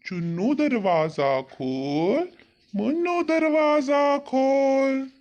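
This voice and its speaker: A protective mother goat from a kids' cartoon , acted out by a narrator, speaks in a high voice at a very unhurried pace. She sounds worried for her young and gives firm, commanding lines. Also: animated, darkish tone, full character voice. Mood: instructive, caring. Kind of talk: children's cartoon story dialogue.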